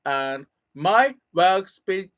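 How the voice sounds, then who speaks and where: A middle-aged man with a Japanese accent talks slowly in careful, halting English, his native language being Japanese. His pitch rises and falls a lot between phrases, and he often stops to find a word before going on. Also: lively intonation, fairly bright tone. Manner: halting non-native English with pauses and restarts. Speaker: solo broadcaster practicing English. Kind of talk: casual spoken monologue.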